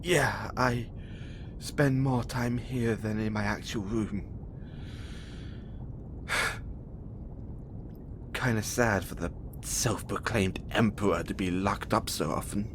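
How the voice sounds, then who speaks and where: A man playing a cocky, playful, imprisoned experiment who calls himself an emperor, with halting, teasing, flirtatious delivery in an audio roleplay. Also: lowish voice, noisy background. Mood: wry, resigned. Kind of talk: audio roleplay acting addressed to the listener.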